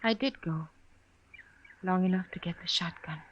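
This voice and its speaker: A woman's voice: lowish voice, normal speed, lightly acted. Muffled, subdued frontier wife played by a woman. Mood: flat, resolute. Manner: formal, uncontracted, subdued speech. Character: resigned, devoted frontier wife, quietly resolute. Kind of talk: 1950s radio western acting. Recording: dull, noisy old radio recording.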